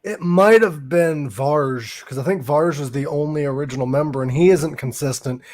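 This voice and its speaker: A talkative man hosting a casual podcast, with a voice pitched a little high. He chats freely and is quick to correct details with a slightly fussy precision. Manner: chatty, fact-correcting, laughs at dark humor. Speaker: podcast host.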